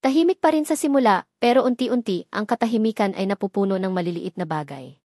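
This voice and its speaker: A woman's voice: mid-range voice, lively intonation, fast talker, dark tone, dry recording. Filipino woman narrating a dramatic story, dry sound. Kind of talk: scripted dramatic first-person story narration.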